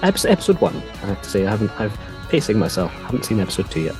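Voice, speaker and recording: Croaky low-voiced man chatting casually. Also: big pitch swings, music in the background. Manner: chatty, rambling, quick asides. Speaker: podcast co-host. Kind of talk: casual chat.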